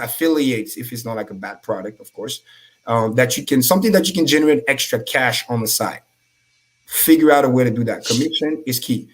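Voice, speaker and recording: A man with a middle-pitched voice whose first language is French talks in a casual, high-energy way. He preaches self-improvement on a livestream and swears freely. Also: poor audio. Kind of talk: casual motivational talk.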